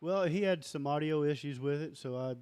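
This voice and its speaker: A man with a lower voice talking casually as an interview guest, halting and often repeating himself. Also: a little mellow, clean tone, soft background music. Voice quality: lower register voice. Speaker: musician and label founder guest.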